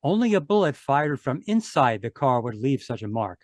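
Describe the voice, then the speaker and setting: A man's voice: mid-range voice, very animated, normal speed, dry recording, natural voice. Man as confident radio sleuth, dry sound. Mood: assured. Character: Ellery Queen, confident sleuth explaining his case. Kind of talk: old-time radio detective narration.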